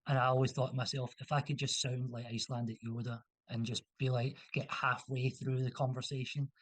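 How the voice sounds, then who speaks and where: A young man from Scotland chats in a loose, rambling way, often restarting his sentences and leaning on fillers. His voice sits in a middle pitch and sounds dry and close, with no room around it. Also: level tone, a little fast, no room sound. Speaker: interview guest. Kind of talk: casual conversational interview.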